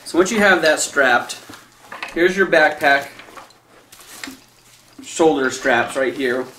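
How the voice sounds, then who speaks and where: A man's voice: fairly high pitch, takes it slowly, bright, forceful tone, roomy sound, slight background noise. A man in his thirties casually reviewing a backpack for his viewers, chatty and enthusiastic, calling them guys. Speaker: product reviewer. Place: small indoor room with a decorated Halloween backdrop.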